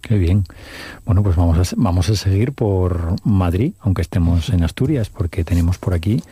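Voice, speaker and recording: A man hosting a relaxed interview chat, friendly, laughing often and giving short encouraging replies. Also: low voice, normal speed, husky, no echo. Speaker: host.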